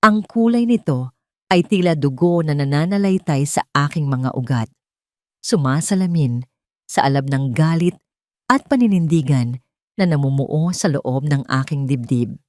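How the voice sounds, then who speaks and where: Low-pitched woman narrating a drama. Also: animated, measured pace, darkish tone, dry recording, studio quality. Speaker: story narrator. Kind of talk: dramatic first-person scripted narration.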